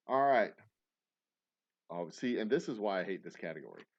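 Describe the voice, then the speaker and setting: A man with a fairly low voice hosts a casual trivia night on a stream, his pitch swinging widely as he rambles and jokes at his own expense. The audio is a bit dull and lo-fi. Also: expressive, normal speed. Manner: rambling, self-mocking jokes, frequent restarts. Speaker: host reading trivia questions on a livestream. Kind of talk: casual live-stream banter.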